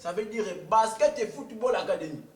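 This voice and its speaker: A man playing a Congolese commentator who talks haltingly, full of fillers. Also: high-pitched, animated. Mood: insistent. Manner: hesitant, many fillers. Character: Congolese male commentator, earnest, hesitant. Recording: echoey room, distant mic, loud music.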